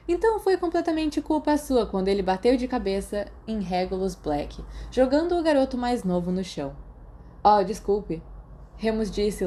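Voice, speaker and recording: A woman's voice: mid-range voice, mellow, slight background noise. A woman with a Brazilian accent reading scripted story narration as an audiobook narrator.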